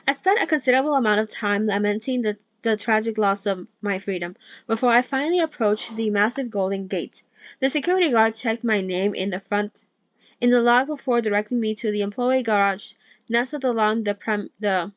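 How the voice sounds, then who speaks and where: A woman's voice: on the high side, dry recording. A woman who is not a native English speaker, reading a novel aloud in a casual read-along, chatting between passages and stumbling over words. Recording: dull, lo-fi dry mic.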